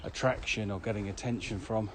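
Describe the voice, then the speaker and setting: A man with a lowish voice explains things in a measured, somewhat formal podcast style. He is recorded walking outdoors, with clearly audible ambient noise around him. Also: steady tone. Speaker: podcast co-host. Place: walking outdoors across open fields.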